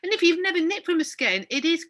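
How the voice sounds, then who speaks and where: A woman's voice: very high voice, sing-song, fast talker, fairly bright tone. A middle-aged woman with a North East English accent that drifts toward Yorkshire, chatting as a podcast co-host, teasing and incredulous, laughing often. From North East England. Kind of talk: casual chat.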